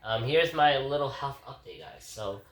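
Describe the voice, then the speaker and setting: A man's voice: mid-range voice, very animated, normal speed. A congested man vlogging a casual, rambling reflection from his kitchen, repeatedly addressing his viewers as guys. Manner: rambling, keeps calling out to "guys". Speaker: vlogger giving a daily reflection. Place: home kitchen. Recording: roomy, distant kitchen recording.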